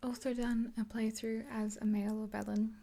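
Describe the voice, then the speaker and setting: Casting: woman in her twenties, soft husky whisper, subdued intonation, casual ASMR makeup-artist roleplay. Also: a little high, little pitch movement, brisk. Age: 20s. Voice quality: breathy whisper. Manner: soft, gentle, rambling.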